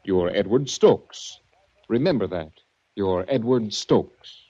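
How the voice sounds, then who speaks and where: A man's voice: on the low side, expressive, takes it slowly, mellow. A man acting a stern, condescending sanitarium official in a 1940s radio drama, clipped and commanding. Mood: coolly patronising. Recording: muffled 1940s radio recording.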